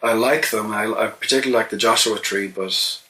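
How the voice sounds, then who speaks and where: An Irish songwriter answering interview questions in a thoughtful, halting way, with many restarts. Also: male voice, lowish voice, pushed and bright, low-quality recording. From Ireland. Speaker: songwriter guest.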